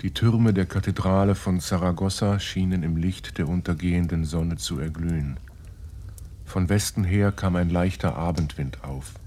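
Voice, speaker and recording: An actor playing a serious, determined writer in a German radio drama, speaking in a measured way. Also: male voice, low voice, fairly even intonation, warm tone. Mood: lyrical reading. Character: determined male writer searching for his brother.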